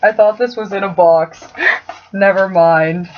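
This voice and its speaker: A woman's voice: medium pitch, steady tone, unhurried. Young woman just chatting, wry n a bit sweary, muffled audio w some faint noise. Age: young adult. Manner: wry, mildly profane banter. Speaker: co-host. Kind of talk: casual chat. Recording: muffled, noisy lo-fi home recording.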